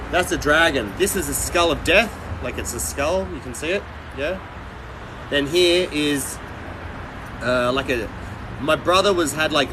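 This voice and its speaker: A middle-aged man livestreaming, chatting casually with viewers in a blunt, rambling, halting way. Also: medium pitch, noisy background. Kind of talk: casual live chat with viewers.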